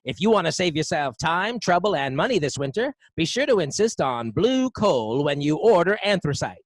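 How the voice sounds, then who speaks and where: Man as high-voiced vintage radio announcer. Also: very animated, moderate pace, no echo. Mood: earnest, selling. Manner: formal, emphatic sales pitch. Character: earnest male 1930s radio sponsor announcer. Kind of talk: old-time radio advertising read.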